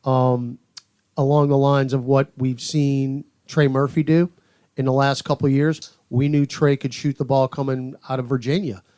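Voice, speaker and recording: A man's voice: mid-range voice, flat delivery, normal speed, dark tone. A man, a radio play-by-play announcer, chatting casually on a podcast in a hesitant way, full of "uh" and "you know". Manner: hesitant, filler-heavy phrasing.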